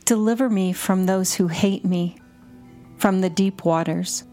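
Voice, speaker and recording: A woman guiding contemplative prayer, reading in short, measured and deliberate phrases. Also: mid-range voice, dark tone. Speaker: prayer guide and podcast host. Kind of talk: slow, repetitive guided contemplative prayer reading. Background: music under the voice.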